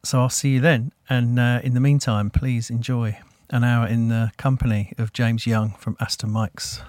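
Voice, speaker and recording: A man hosting a podcast, talking in a relaxed, rambling way with many fillers. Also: mid-range voice, normal speed, dry recording, clean studio sound. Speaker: podcast host. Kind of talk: casual interview chat.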